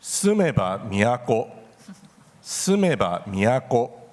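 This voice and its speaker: A man reading short phrases aloud for a contest, deliberately and with repetition. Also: medium pitch, very animated, a little mellow. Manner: deliberate, repeats each phrase. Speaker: reader of Japanese contest phrases. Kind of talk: careful read-aloud. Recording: echoey hall with long reverb.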